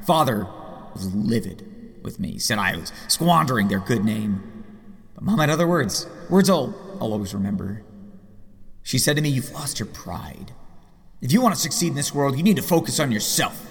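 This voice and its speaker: A man's voice: medium pitch, sing-song, moderate pace, creaky. An actor playing a rich, sardonic yet earnest man in his late thirties in an audio drama. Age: 30s. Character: rich, sardonic, earnest middle-aged male vigilante heir. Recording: long hall reverb, faint music behind.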